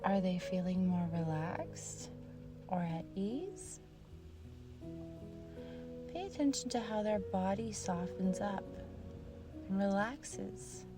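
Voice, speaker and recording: A woman narrating a guided meditation in a soft, soothing manner, with long pauses between short phrases. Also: medium pitch, lively intonation. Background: meditation music.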